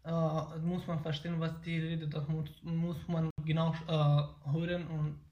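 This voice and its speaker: Interviewee: Afghan man, 19, Pashto native, halting German, monotone, roomy echo. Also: on the high side, highs cut off. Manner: hesitant, frequent fillers and restarts. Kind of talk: interview about learning German.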